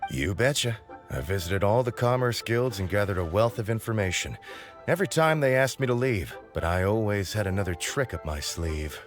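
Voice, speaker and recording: A man voicing an eager young male informant in a video game, chatty and breezy, with clear game music behind. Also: lowish voice, lively intonation, moderate pace, close to an everyday voice. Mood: cocky. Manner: chatty, breezy, a bit cocky.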